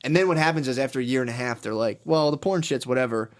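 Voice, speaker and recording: A man co-hosting a crude comedy podcast, swearing a lot and often saying dude, who drops low and quiet when he retells stories. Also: quick pace. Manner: profane, animated, says dude a lot. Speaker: podcast co-host.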